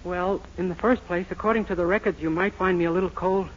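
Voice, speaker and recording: Through a muffled, noisy 1940s radio recording comes a naive young bridegroom played by a man. His voice is high and quick, with an earnest, plaintive, literal-minded manner that makes him sound mild and easily flustered. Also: steady tone, natural voice. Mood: mournful. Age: young adult. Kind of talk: 1940s radio situation comedy acting.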